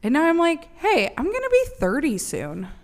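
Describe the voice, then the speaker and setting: A woman's voice: high voice, huge pitch swings, moderate pace. A woman in her late twenties co-hosting a podcast, chatting casually with a teasing, big-sisterly manner and free swearing. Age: 20s. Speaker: podcast co-host. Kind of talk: casual chat.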